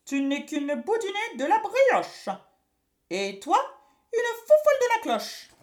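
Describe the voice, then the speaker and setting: A woman voicing a boastful, scolding witch from a children's story, with drawn-out taunts and silly insults. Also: very high voice, wildly swooping pitch, voice pushed up, full character voice. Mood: indignant.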